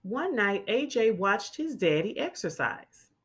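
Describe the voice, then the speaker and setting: A woman reads a picture book aloud for small children in a teacherly way. Her pace is slow, with each word clearly enunciated. Her mid-range voice rises and falls in an animated, expressive melody. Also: mid-range voice.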